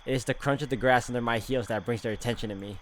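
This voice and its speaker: A man's voice: medium pitch, steady tone, racing, crowd noise. A man playing through a visual novel, reading its narration aloud dramatically and adding casual asides. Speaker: game walkthrough player.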